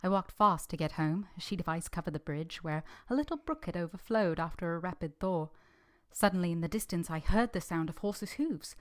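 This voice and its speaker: A woman playing an earnest, modest young governess in a vintage-style radio drama, measured, polite and literary in delivery. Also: fairly low pitch, lightly acted. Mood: reflective. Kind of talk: first-person narration in vintage radio drama.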